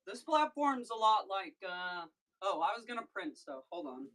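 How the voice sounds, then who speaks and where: A woman from Missouri with a deep voice, chatting casually on a livestream while she packs and ships orders, often breaking off mid-thought to handle a task. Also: sing-song, normal speed, a bit bright, low-quality recording. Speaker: online seller streaming a live sale.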